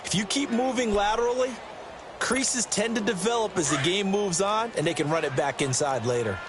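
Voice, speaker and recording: A man's voice: sits high, expressive, moderate pace. A man with an American accent voicing a conversational, emphatic color commentator who explains the play in a football video game. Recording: game audio with loud stadium noise. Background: loud stadium ambience.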